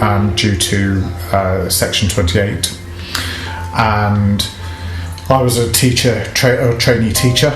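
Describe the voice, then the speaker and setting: Bloke in his 40s reminiscing, lowish voice, echoey room. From Nottingham, England. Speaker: interviewee. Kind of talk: reflective interview recollection. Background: guitar music.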